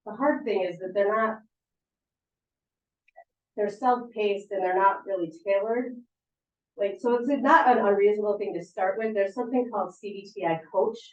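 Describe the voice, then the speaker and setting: A woman sleep therapist casually answering audience questions, talking informally with fillers and restarts. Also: medium pitch. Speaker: sleep therapist giving a talk. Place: meeting room at a support center. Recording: echoey room, distant mic, muffled.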